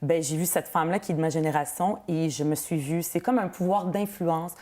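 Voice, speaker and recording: A woman in her thirties, from Palestine with Arabic as her first language, speaks with a Quebec French accent as an interview guest, earnest and quick to laugh. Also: low voice, fairly even intonation, dark tone. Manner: earnest, laughs easily, repeats words. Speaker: politician, guest. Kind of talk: interview answers.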